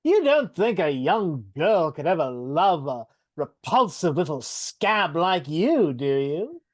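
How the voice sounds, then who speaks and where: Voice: medium pitch, big pitch swings, slow, no room sound. A performer voicing a cruel, mocking goblin king in a live reading over a video call. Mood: taunting. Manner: taunting, mocking with laughs.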